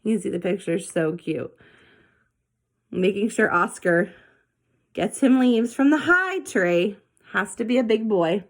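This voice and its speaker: A woman reads a children's story aloud in a mid-pitched voice. Her delivery is expressive and animated, as a storybook narrator. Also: a little slow, pauses often. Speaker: storybook reader.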